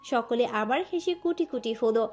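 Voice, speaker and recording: A woman's voice: on the high side, animated, moderate pace, darkish tone. A woman giving scripted narration of a romantic story. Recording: music bed under voice.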